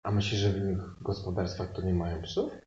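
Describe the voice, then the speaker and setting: A man's voice: sits low, flat delivery, brisk, a little mellow, natural voice. A man playing a cautious, matter-of-fact country boy with colloquial speech in an improvised audio play. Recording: roomy, dull, noisy live stage recording.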